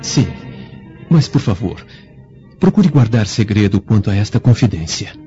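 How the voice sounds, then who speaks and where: An actor playing an earnest, flattering young freedman with formal courtesy and a scheming streak in a radio drama. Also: lowish voice, measured pace, soft background music. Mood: confiding. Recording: dull lo-fi radio drama recording.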